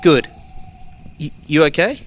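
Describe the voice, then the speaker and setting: A man's voice: on the high side, animated, slow pace. A man acting as a pragmatic, exasperated survivor in an audio drama, talking in a blunt, argumentative way. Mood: firm. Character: Marcus, blunt pragmatic male survivor, exasperated but caring. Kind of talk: naturalistic audio drama dialogue.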